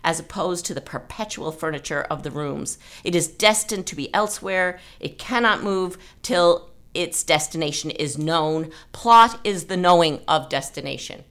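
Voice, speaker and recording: A woman's voice: deep voice, normal speed, few pauses, a bit bright. A woman hosting a literary discussion, explaining and reading aloud like a writing teacher. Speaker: podcast host and writing teacher.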